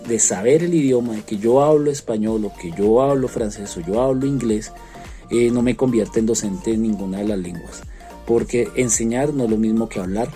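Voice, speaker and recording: A man from Colombia with a Colombian accent, a French teacher earnestly explaining his view to students, pausing often on fillers. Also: fairly low pitch, warm tone. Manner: earnest, frequent hesitation fillers. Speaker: French teacher, interviewed expert.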